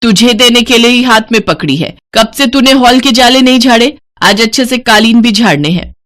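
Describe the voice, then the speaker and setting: A woman's voice: a little high, normal speed, fairly bright tone, voice pushed up, close to an everyday voice. A woman voicing a suspicious, scolding older mother-in-law from a village in a moral story cartoon. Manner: scolding, blunt.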